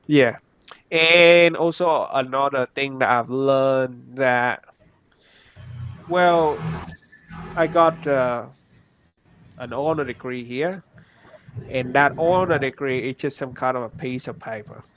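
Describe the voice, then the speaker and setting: A young man from Vietnam with a Vietnamese accent, speaking English as a second language in a casual interview, halting, with many restarts. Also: medium pitch, slight background noise. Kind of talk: casual reflective chat. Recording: slightly dull, dry recording.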